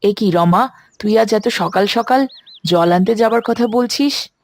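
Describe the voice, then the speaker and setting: A woman's voice: mid-range voice, measured pace, close to an everyday voice. Woman as an exclaiming, wry village mother. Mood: teasing surprise. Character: village mother, surprised and teasing. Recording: electronic music behind the voice.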